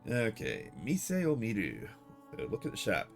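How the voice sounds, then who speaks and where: Relaxed man translating a game. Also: fairly low pitch, a little slow, gravelly, soft background music. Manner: relaxed, thinks aloud with many fillers. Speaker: streamer teaching Japanese through a game. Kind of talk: live-stream commentary and translation.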